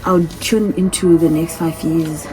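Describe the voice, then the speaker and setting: Low-voiced woman in her thirties, chatty. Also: steady tone, normal speed, low-quality recording. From from a different continent. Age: 30s. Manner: chatty, repeats herself, reads questions aloud. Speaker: vlogger. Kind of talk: casual Q&A vlog. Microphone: phone.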